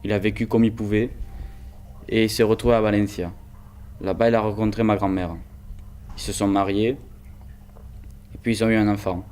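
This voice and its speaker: A man's voice: on the low side, flat delivery, moderate pace, pauses often, a little mellow, clear, resonant tone. A teenage boy telling his grandfather's story in an earnest, hesitant way. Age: teens. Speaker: student telling family history. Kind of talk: personal testimony, documentary voice-over.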